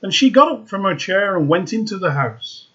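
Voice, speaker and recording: A man reading a fairy tale aloud, slow and expressive with dramatic emphasis. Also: high voice, dull audio. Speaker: storyteller.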